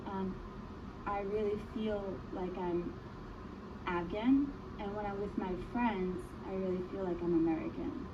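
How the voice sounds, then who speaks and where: A woman's voice: mid-range voice, fairly even intonation, measured pace. A woman from New York speaking thoughtfully and earnestly in a documentary interview. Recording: tinny, noisy documentary clip.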